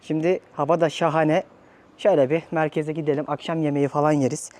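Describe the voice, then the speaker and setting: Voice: mid-range voice, little pitch movement, fast talker, darkish tone. A travel vlogger from Turkey talking casually and conversationally, addressing viewers directly.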